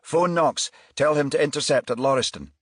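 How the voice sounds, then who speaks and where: Male narrator as policeman, eager, quick-acting, urgent short lines, audiobook dialogue, dry recording. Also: on the high side, breathy edge, no echo, pitched above the performer's own voice. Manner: short, urgent commands and questions.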